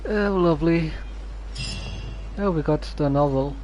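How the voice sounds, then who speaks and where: A woman streamer giving casual, deadpan commentary as she plays a story game. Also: sits low, measured pace, highs cut off. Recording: voice over game music.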